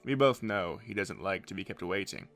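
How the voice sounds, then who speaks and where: A loyal captain of the royal guard , acted by a man, with a forceful, bright voice that swings widely in pitch. He is kindly and deferential, full of avuncular concern, in a fantasy audio drama. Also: expressive, normal speed, gravelly, faint music behind, exaggerated voice. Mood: gently conspiring.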